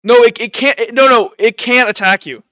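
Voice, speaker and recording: A man's voice: very high-pitched, brisk, bright, forceful tone, no room sound, highs cut off. A young man running a tabletop roleplaying game as game master, talking informally and thinking aloud as he narrates.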